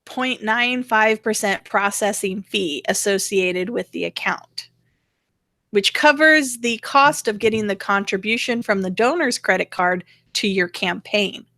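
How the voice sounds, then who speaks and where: A woman's voice: medium pitch, measured pace, pushed and bright, dry recording. A woman co-hosting a rant show, chatting casually and frankly with opinions and the odd swear word. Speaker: co-host of a political rant show.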